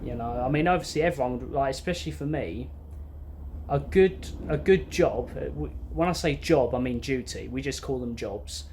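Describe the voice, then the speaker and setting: A man's voice: mid-range voice, moderate pace, a little mellow. Young man chatting over background noise. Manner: rambling, informal, matter-of-fact. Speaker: bus driver vlogger. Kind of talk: unscripted casual chat to camera. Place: inside an empty parked bus. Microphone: phone or camera at arm's length. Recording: clear ambient noise inside bus.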